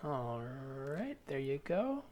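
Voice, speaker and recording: A man's voice: a little high, very animated, takes it slowly, warm tone. A man improvising a particular but agreeable religious man in a comedy sketch, chatty and emphatic, repeating himself for precision. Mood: curious, agreeable. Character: particular, agreeable religious man getting his face painted.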